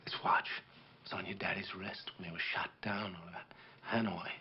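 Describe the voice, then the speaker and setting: A man playing a grave, middle-aged war veteran officer who speaks in a measured, solemn way, as if telling a story to a child. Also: on the low side, muffled recording, a little hiss or hum, natural voice. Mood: hushed. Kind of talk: dramatic monologue performance.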